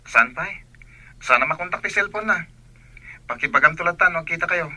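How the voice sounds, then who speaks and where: A man's voice: fairly high pitch, lively intonation, a little fast, pushed and bright, heavily acted. A man playing an earnest young would-be seminarian in a radio drama, with a Filipino accent.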